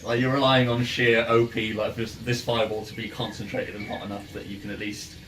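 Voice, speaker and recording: A man speaking as game master, narrating a tabletop game in a casual, halting and amused way. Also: fairly low pitch, little pitch movement, fairly bright tone. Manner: halting, amused, chuckly delivery. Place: indoor room, in-person game session. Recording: distant mic, noisy room ambience.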